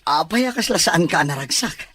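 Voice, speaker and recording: A Filipino man playing a wealthy, protective young expectant father in an audio drama, with a raspy voice. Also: a little high, expressive, moderate pace, bright, forceful tone, heavily acted. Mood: excited, protective.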